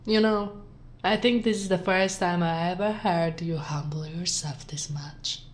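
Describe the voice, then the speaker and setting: A woman from Italy playing a smug, flirtatious sheriff in an ASMR roleplay, with sultry, teasing delivery that drops into breathy whispers. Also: on the low side, a little slow, lightly acted. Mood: amused, condescending. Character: teasing, smug, flirtatious female sheriff gloating over captive. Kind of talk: ASMR roleplay, scripted acting.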